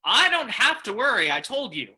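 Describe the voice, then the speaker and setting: An actor playing a menacing, smug saloon keeper in an old-time radio drama, with a folksy, mock-friendly taunting manner. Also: male voice, very high voice, sing-song, normal speed, pushed and bright, slightly rough, exaggerated voice.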